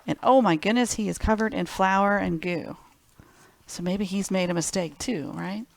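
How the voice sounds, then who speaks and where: A woman's voice: mid-range voice, normal speed, creaky. A woman hosting a children's library storytime, reading picture books expressively and speaking simply and directly to young listeners.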